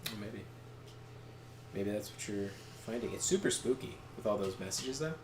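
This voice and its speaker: Guy rambling on a game stream, halting n wandering, roomy echo, bit of background noise. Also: on the low side, expressive. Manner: halting, digressive, trivia-minded. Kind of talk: casual rambling chat. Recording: echoey room, faint background noise.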